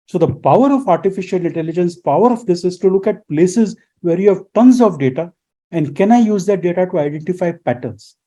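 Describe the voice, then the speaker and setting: A man talks through his subject as a radio guest. His voice sits high and sounds deeply mellow. He teaches as he goes and keeps posing rhetorical questions. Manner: lecturing, poses rhetorical questions. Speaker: guest expert. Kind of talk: explanatory radio interview answers.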